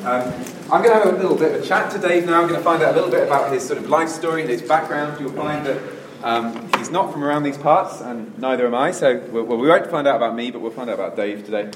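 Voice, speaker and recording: A male host asks a guest questions on stage in a relaxed, friendly way. He sounds set back from the microphone in a roomy, echoing hall, with a faint hum of background noise. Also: mid-range voice, fast, few pauses, recorded from a distance. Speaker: event host. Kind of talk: live interview on stage.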